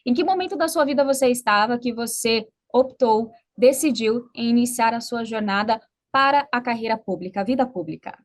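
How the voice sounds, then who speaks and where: High-pitched Brazilian woman interviewer. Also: a little fast. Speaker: interview host. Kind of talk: structured interview hosting.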